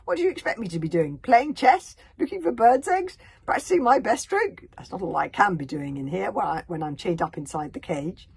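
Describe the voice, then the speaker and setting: A cheeky, defiant boy, played by a woman fires off sarcastic replies. His voice is husky and bouncy. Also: medium pitch, lively intonation, a little fast, few pauses, heavily acted. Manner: sarcastic, coarse retorts.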